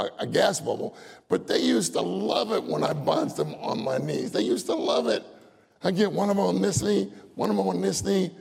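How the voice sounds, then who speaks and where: A man's voice: high-pitched, creaky, a little mellow, breathy edge. An older man preaching a folksy sermon, telling stories, acting out voices and laughing often. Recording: long hall reverb.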